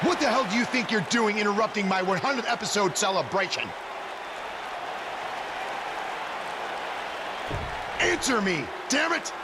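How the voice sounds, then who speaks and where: A man's voice: sits high, lively intonation, fast, a loud crowd. An actor playing a pompous, hot-tempered wrestling villain in a video game, haughty and scolding like a showman. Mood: outraged. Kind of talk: wrestling promo acting. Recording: echoey arena hall with loud noise.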